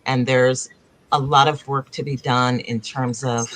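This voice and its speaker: A woman's voice: extremely low pitch, steady tone, measured pace, fairly bright tone, a little hiss or hum. A woman playwright born in Africa and raised in the United States, speaking on a video-call panel in a thoughtful, searching way, often circling back to rephrase.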